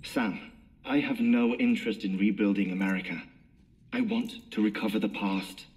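An actor playing a courteous, eccentric scientist in a video game, speaking in formal, precise, wordy sentences. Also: male voice, lowish voice, fairly even intonation, normal speed, darkish tone, echoey room, natural voice. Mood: curious, solemn. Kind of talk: video game briefing dialogue.

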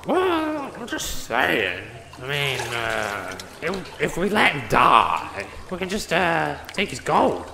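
A man's voice: a little high, huge pitch swings, slow pace, vocal fry, hardly any pauses, exaggerated voice. A man voicing a crude, greedy, scheming little gnome who mocks and swears, in a comedic fantasy audio drama. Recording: echoey reverberant room with some noise.

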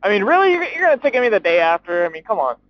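A young man chatting casually and excitably as a motorcycle vlogger, swearing and using slang as he rambles while riding. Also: sits high, very fast. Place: outdoors, riding a motorcycle at night. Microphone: helmet communicator mic inside helmet. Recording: muffled helmet intercom mic, clear background noise.

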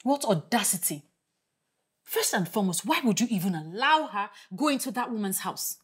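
Stern, indignant mum for a screen drama, husky edge, lively swings in pitch. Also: female voice, normal speed. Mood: stern, exasperated. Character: stern, indignant mother scolding her younger sister. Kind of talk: film drama acting.

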